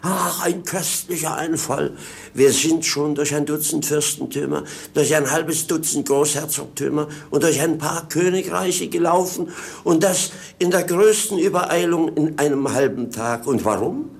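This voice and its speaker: An actor playing a wry, earthy servant to a prince in a 1950s German radio play, sardonic and theatrically exasperated. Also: male voice, on the high side, steady tone, moderate pace, gravelly. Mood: mock exasperation.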